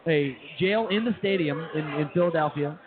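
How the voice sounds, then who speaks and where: A man's voice: fairly high pitch, normal speed. A man co-hosting a comedy show, joking informally and often repeating himself in casual banter. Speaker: co-host on comedy radio show. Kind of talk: casual comic banter and interjections. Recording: thin phone-like sound, crowd around. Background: crowd noise.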